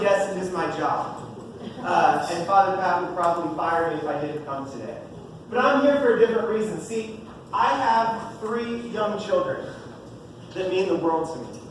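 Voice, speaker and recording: Booming high-voiced man in an echoey hall. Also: expressive, unhurried. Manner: joking, upbeat, talks straight to the audience. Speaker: youth minister. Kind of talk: parish talk to parents. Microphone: no mic, heard from far off. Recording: echoey room from far off, noisy.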